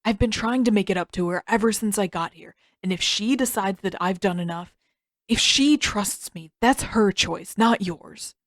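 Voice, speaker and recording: A woman's voice: mid-range voice, normal speed, no room sound, clean studio sound, natural voice. A woman voicing a tired, sullen teenage prince in an audiobook story, terse, defensive and sarcastic.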